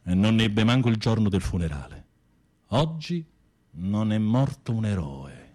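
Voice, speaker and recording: Husky, low-voiced theatrical prisoner. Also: male voice, big pitch swings, mellow. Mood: probing, grave. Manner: theatrical, sardonic, with drawn-out musing. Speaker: radio drama actor. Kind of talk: Italian radio theatre acting.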